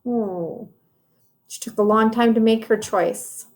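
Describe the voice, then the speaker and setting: A woman's voice: on the high side, takes it slowly. A woman teacher reading a children's storybook aloud, explaining it directly to young listeners.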